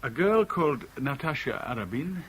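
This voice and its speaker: A man's voice: a little high, very animated, moderate pace, fairly bright tone, a little hiss or hum, poor audio. An actor playing an anxious, suspicious traveller searching for a missing woman in a 1970s radio drama. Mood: polite, curious.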